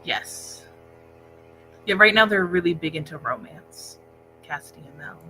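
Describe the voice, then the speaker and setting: A woman's voice: low voice, animated, a little slow, pushed and bright, soft background music, rough recording. A woman co-hosting a casual book discussion livestream, talking conversationally indoors. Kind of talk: casual chat about books. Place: indoor library room, speaking into a laptop set-up.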